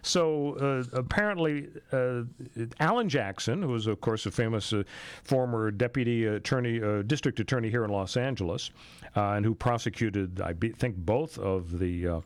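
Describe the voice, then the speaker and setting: A man's voice: lowish voice, normal speed, dry recording. A man with an American accent hosting a talk radio show in a casual, chatty style.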